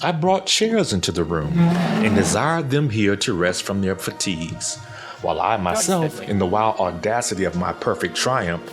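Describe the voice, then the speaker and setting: A man playing an obsessive, paranoid murderer in dramatic gothic horror narration, theatrical and emphatic, repeating his words. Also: lowish voice. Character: mad, obsessive murderer confessing, paranoid and gleeful.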